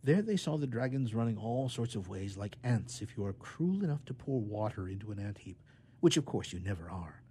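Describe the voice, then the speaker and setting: Animated male storyteller with a mellow tone. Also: lowish voice, a little fast, a little hiss or hum. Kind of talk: expressive children's story narration.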